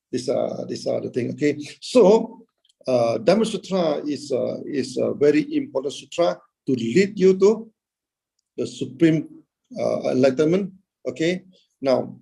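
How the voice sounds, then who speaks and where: A man teaching Buddhism over a video call, in a halting way, filling gaps with "okay" and "uh" and answering his own questions. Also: medium pitch, gravelly, dark tone, breathy edge. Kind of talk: dharma talk.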